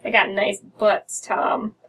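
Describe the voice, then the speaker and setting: Young American female co-host, casual reader and banterer. Husky voice, slightly distant recording. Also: mid-range voice, little pitch movement, takes it slowly, a bit bright. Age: young adult. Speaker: co-host reading stories aloud. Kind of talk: casual banter and reading aloud. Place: small dim room indoors. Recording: somewhat distant from mic.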